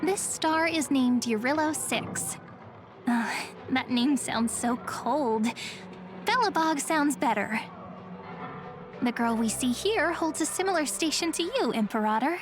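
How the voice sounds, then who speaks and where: A woman voicing a sweet, friendly young girl guide in a video game, chatty, gentle and polite. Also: high-pitched, animated, measured pace, music in the background. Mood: explaining gently. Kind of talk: video game character acting.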